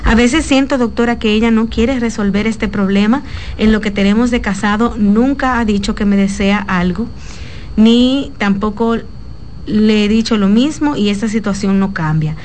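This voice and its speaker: Female talk show host, chatty, reads listener mail aloud, average pitch, light background noise. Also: moderate pace, a little mellow, low-quality recording. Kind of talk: reading a listener letter on air.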